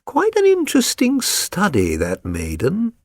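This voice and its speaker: A man's voice: high voice, wildly swooping pitch, unhurried, no room sound, studio quality, voice pushed up, exaggerated voice. A man voicing a keen, self-assured detective for an audiobook, with a British accent and a precise, lecturing, condescending manner. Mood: languid, musing. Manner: precise, didactic, theatrical. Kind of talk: dramatised audiobook reading.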